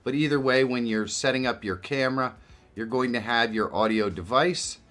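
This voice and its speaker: A man in his fifties presenting a software tutorial as a video creator, explaining in a casual, direct way. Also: mid-range voice, normal speed. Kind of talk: tutorial narration to camera and screen. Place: small indoor home studio. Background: music.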